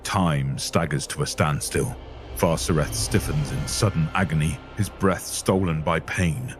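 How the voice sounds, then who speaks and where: A man's voice: a bass voice, unhurried, over music. A man reading scripted, dramatic narration for an audio story.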